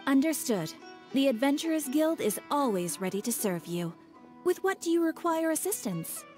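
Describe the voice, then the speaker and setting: A woman voicing a courteous, composed guild receptionist in a video game, speaking in a formal, measured, scripted way. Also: high voice, sing-song, darkish tone. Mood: welcoming. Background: music.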